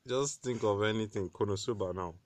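Podcast co-host, male, low-ish voice, excitable, teasing, foul-mouthed, dry close mic. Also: a little fast, no echo. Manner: excitable, swears freely, teasing. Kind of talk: casual chat.

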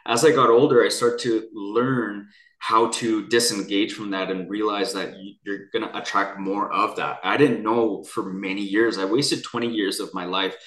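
A man's voice: on the low side, fairly even intonation, moderate pace, a bit bright. A young adult man from Canada, telling his story as an interview guest in an earnest, rambling way with many restarts. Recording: echoey room.

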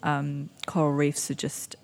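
A young woman who grew up in Indonesia, answering interview questions in a reflective way with many pauses and soft laughs. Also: low-pitched, monotone, creaky. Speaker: interviewee, climate activist. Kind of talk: conversational interview.

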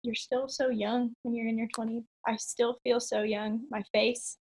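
A woman in her late twenties chats as a podcast guest, upbeat and talkative. Her voice sits a bit high for a woman. She fills pauses with ums and small laughs. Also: little pitch movement, breathy edge. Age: 20s. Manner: upbeat, chatty, frequent ums and little laughs. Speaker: podcast guest, online entrepreneur. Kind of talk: casual interview chat.

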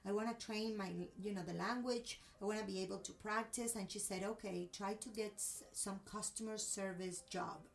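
A woman's voice: medium pitch, steady tone, normal speed, gravelly, hardly any pauses, far from the mic. A woman from Cuba with a Cuban accent and Spanish as her first language, telling a personal story expressively in a conversational interview.

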